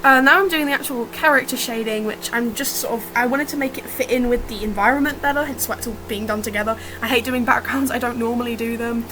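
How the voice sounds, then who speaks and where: A woman with a fairly high voice, a little rough from being sick, chats informally through her drawing process. She is unscripted and self-deprecating, recorded without a proper mic, with a faint fan whirring behind her. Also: fast talker, few pauses, noisy background. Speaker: digital artist narrating own speedpaint. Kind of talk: casual unscripted art commentary. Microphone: built-in or improvised, no dedicated mic.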